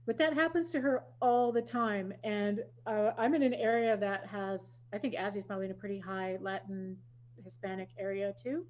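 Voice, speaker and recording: A woman's voice: medium pitch, moderate pace. A woman from Wisconsin hosting a podcast, chatting casually and telling stories with self-deprecating asides. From Wisconsin, USA. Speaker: podcast host. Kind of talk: casual chat. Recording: dull lo-fi audio.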